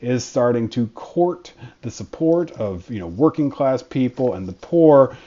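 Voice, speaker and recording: A man's voice: mid-range voice, animated, unhurried, mellow. Man lecturing, dull lo-fi sound. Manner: conversational, frequent fillers and restarts. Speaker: history professor. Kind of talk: recorded college lecture.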